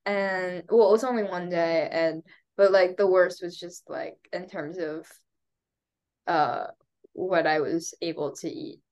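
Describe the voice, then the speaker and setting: A woman's voice: lowish voice, fairly even intonation, measured pace, a little mellow. Congested young woman, rambling chat. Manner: rambling, many fillers and restarts, laughs often. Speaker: podcast co-host. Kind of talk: casual chat.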